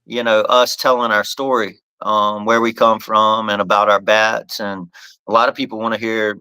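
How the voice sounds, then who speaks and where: A man's voice: lowish voice, little pitch movement, normal speed, bright, forceful tone, smooth, no room sound, low-quality recording. A man hosting a casual live podcast, talking loosely about his company's products and often restarting his phrases. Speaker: podcast host and bat company owner.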